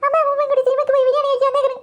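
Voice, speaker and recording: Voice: extremely high pitch, completely flat delivery, racing, lo-fi sound. A performer voicing a whiny, demanding small child in a comic family cartoon, pleading with melodrama. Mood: wronged, pleading.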